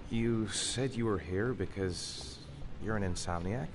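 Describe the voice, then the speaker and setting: A wounded, secretive man in a cinematic game. His voice is on the low side, weary and earnest, with clear noise behind it. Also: a little slow. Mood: suspicious. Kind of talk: cinematic video game acting.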